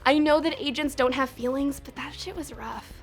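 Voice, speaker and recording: A woman's voice: sits high, normal speed, faint music behind. A young woman hosting a countdown video, talking in a sarcastic, profane, chatty way. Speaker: host. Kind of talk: snarky countdown commentary.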